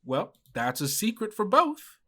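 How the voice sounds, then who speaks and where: A man's voice: sits high, wildly swooping pitch, unhurried, sharp tone, voice pushed up, heavily acted. A man voicing a folksy little dog who works as a carnival vendor, talking in salesman patter for a tabletop game. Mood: cheery, persuasive. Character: tiny-armed elongating dog carnival vendor, folksy huckster.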